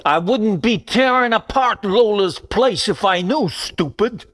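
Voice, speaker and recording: A man playing a blunt, menacing 1940s gangster thug in a radio noir parody, using rough tough-guy slang. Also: high-pitched, animated, a little slow, fairly bright tone, heavily acted. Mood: threatening, curt.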